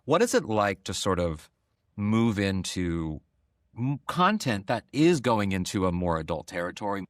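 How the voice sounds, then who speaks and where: A man's voice: fairly low pitch, sing-song, a little slow, no echo, studio quality. A man interviewing guests in a casual, chatty and enthusiastic way. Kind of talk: casual chat.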